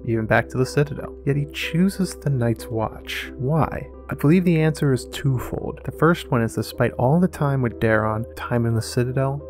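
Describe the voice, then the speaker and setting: A man delivers scripted narration in the manner of an analytical video essay. His voice sits at a middling pitch for a man, and soft music plays behind him. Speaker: host of a fantasy lore analysis show.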